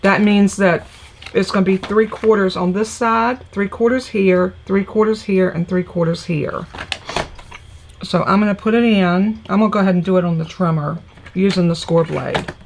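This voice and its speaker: A woman's voice: mid-range voice, steady tone, moderate pace, creaky. A woman with a scratchy, congested voice, chattily explaining a papercraft project step by step as she works. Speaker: papercraft demonstrator. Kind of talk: live crafting tutorial.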